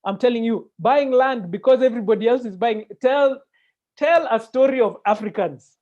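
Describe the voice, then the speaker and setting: A man with a Kenyan accent moderating a webinar conversationally, animated and given to digressions and interjections. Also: very high voice, moderate pace. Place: indoor room, video call. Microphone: earphone mic on video call. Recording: dull, lo-fi video-call audio.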